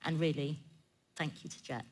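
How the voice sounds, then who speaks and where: A middle-aged woman speaks in a low voice as a panellist, with some noise behind her. Her delivery is informal, candid and full of emphasis. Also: steady tone, normal speed. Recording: clear background noise.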